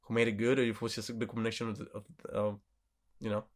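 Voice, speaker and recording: A man's voice: lowish voice, level tone, quick pace. A man co-hosting a podcast, talking casually about movies in an informal, sweary, self-correcting way. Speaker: podcast co-host. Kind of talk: casual movie review chat.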